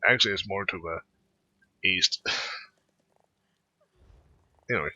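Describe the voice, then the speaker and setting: A man's voice: low voice, measured pace, bright, forceful tone, breathy edge, no echo, muffled recording. A man giving casual, rambling game commentary, often repeating words and trailing off, into a close microphone. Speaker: gaming video host.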